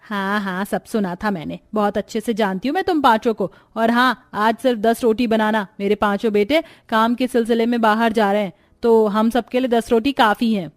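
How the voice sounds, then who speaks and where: Woman acting a stingy, nagging mother-in-law, high-pitched. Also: moderate pace, few pauses, no echo, studio quality, close to an everyday voice. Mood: bossy, scornful. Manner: sharp, bossy, nagging. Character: stingy, scolding middle-aged Indian mother-in-law. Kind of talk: Hindi cartoon story voice acting.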